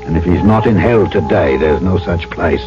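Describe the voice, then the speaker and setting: Earnest, courteous radio-drama trader, dull recording. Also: male voice, sits low, lively intonation. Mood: reflective, grim. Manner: polite, measured, storytelling. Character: earnest, courteous male trader newly arrived on island, narrator. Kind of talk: old-time radio drama acting and narration. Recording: dull old radio recording, music behind.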